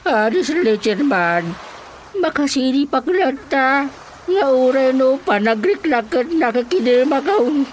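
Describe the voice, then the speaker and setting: A woman's voice: sits high, very animated, measured pace, slight background noise, poor audio. An actress playing a chatty, nervous village woman in a radio comedy drama, speaking with an Ilocano Filipino accent. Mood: nervous, pleading.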